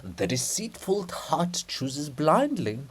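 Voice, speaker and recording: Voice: mid-range voice, very animated, slightly rough, heavily acted. A reader as a rhapsodic holy male poet. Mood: mocking, grandiose. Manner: grandiose, rhapsodic, drawn-out. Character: ecstatic, mocking male holy poet statue, grandiose. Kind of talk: declamatory dramatic reading.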